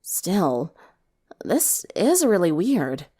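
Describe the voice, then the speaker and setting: Woman as conflicted teen heroine for story audio, defensive, emphatic, lively intonation. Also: on the low side, drawn-out words, breathy edge, exaggerated voice. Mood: firm, exasperated. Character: conflicted, defensive, lovestruck teenage girl.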